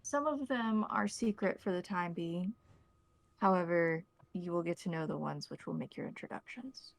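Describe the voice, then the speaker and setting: A woman playing a courteous, calculating vampire elder in a tabletop game, speaking formally with slow, careful wording. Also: fairly low pitch, creaky, no room sound. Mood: measured, secretive.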